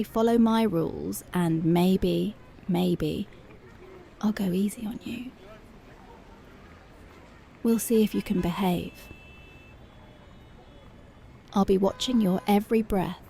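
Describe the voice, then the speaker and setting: A woman acts out a dominant policewoman for an ASMR roleplay. Her voice is dark and soft with a gravelly fry, teasing and commanding as it sinks toward a whisper. There is some noise behind her. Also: medium pitch. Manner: slow, commanding, taunting, often dropping into whispers. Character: strict, dominant, teasing female police officer. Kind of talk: ASMR roleplay, intimate and breathy.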